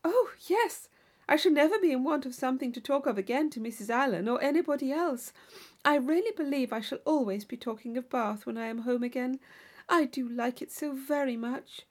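A woman voicing a naive, eager young country gentlewoman in a classic novel audiobook, earnest and enthusiastic. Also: high-pitched, brisk, pitched above the performer's own voice. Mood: gushing enthusiasm.

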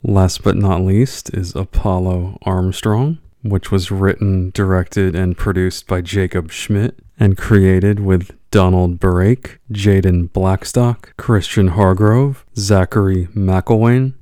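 A man's voice: low voice, fairly even intonation, takes it slowly, hardly any pauses, dark tone, no room sound, clean studio sound. A man reading scripted introductions as a podcast announcer.

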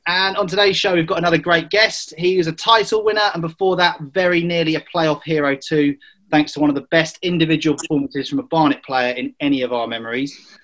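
A man with a Welsh accent hosts a podcast in a relaxed, joking way, his voice pitched a little high. He stumbles now and then over his questions but keeps the banter going. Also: a little fast. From Wales. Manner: jokey, hesitant with fillers. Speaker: podcast host. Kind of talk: casual podcast chat.

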